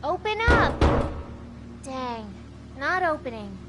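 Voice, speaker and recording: A woman's voice: high voice, sing-song, slow pace, loud music behind the voice, heavily acted. A woman playing a plucky, wry teenage girl adventurer in a video game, musing aloud. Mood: determined. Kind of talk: video game character dialogue.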